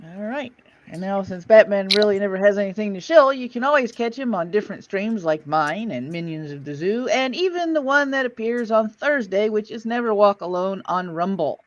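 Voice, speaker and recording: A woman's voice: medium pitch, lively intonation, normal speed, dull audio, a little hiss or hum. A woman hosting a livestream in casual chat, self-deprecating and a bit salty. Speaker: livestream host.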